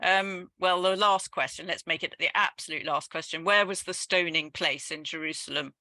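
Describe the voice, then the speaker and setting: A woman's voice: on the low side, moderate pace, pushed and bright, dry recording. A woman hosting an online lecture and moderating the questions through a headset, speaking politely and formally. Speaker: host of the society's lecture. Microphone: headset on a video call.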